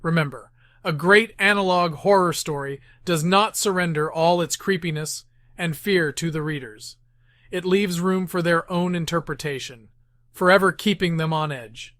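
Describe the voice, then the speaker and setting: Male tutorial host, slightly high voice, dry close sound, measured scripted delivery. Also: a bit bright, no echo. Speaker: host of writing tips series. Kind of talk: scripted instructional narration.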